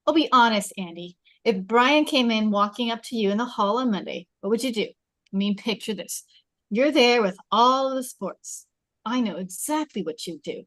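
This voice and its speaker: Casting: actress as argumentative, conceited popular teen girl, fairly high voice, table-read drama. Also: animated, moderate pace, a bit bright, poor audio. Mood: defensive, blunt. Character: popular, conceited, defensive teenage girl, bluntly honest. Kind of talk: table read of a film script.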